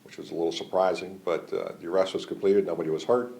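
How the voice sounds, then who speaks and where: A man's voice: deep voice, fairly even intonation, fast talker, vocal fry, mellow. A man with an American accent giving a matter-of-fact, procedural statement as a law enforcement official in a news interview. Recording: faint background noise.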